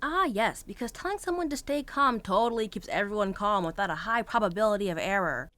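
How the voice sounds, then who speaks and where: A woman's voice: mid-range voice, expressive, brisk, a bit bright, close to an everyday voice. A woman playing a wry young travel companion in a student audio drama, with a dry, teasing delivery.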